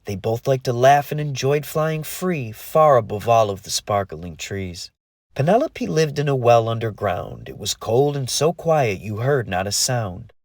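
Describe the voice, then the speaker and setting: A man narrating a rhyming children's story in an expressive, sing-song way. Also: mid-range voice, measured pace, no echo, clean studio sound.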